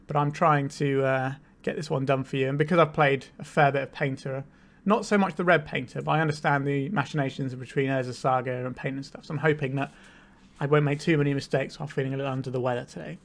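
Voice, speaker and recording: A man's voice: mid-range voice, steady tone, fast, vocal fry. A man with a cold, a bit tired, casually explaining a card game deck and thinking aloud as he plays. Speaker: channel host, card game player. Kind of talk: casual gameplay commentary.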